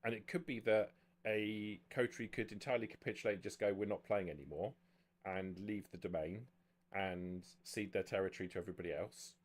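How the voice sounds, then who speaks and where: A man speaking as game master, explaining the rules of a tabletop roleplaying game in a measured way. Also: fairly low pitch, fairly even intonation.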